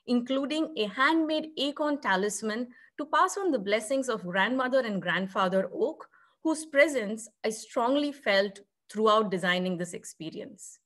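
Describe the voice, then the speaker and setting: A woman with a slightly high voice delivers a scripted presentation over a video call. Her phrasing is reflective and poetic, like a narrated artist's talk. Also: fairly bright tone. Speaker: theatre artist presenting at a conference.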